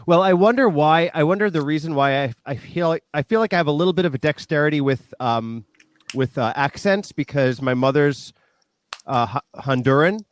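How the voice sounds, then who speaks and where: A man's voice: on the high side, normal speed, no room sound. A man chatting casually as an interview guest, talking in a rambling, joking way. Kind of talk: casual chat.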